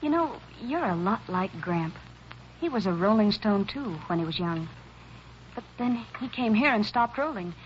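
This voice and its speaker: Casting: actress as gentle young American farm woman, medium-pitched, sincere, dull old radio recording. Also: expressive, normal speed, a little hiss or hum, natural voice. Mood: curious. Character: earnest, hopeful, tender young farm woman. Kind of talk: 1940s radio acting.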